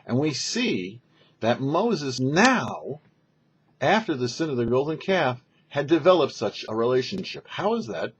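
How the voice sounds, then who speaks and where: Male rabbi host, husky voice, lively emphatic delivery of a scripture lesson, slightly muffled recording. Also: medium pitch, a little slow. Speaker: rabbi and host of a weekly Torah portion show. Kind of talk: scripted religious teaching talk.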